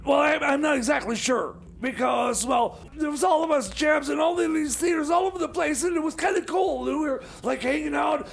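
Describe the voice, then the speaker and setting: A man puts on a muddled radio comedy movie critic with an extremely high, gravelly voice. He stammers and halts, always flustered, as he rambles. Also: a little fast, few pauses, shouting, a little hiss or hum. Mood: excitable. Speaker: comic sidekick film critic. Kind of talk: improvised comedy radio theater.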